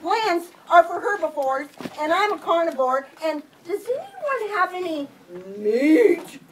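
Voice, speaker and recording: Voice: very high-pitched, big pitch swings, unhurried, heavily acted. A puppeteer voicing a polite, eager young meat-eating dinosaur in a children's puppet show. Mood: polite, fussy. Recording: noisy, distant amateur live recording.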